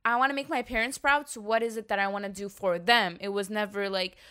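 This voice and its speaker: A woman's voice: medium pitch, fairly even intonation, fast, bright, forceful tone, clear, resonant tone. A woman hosting a casual chat, speaking in an earnest, reflective way.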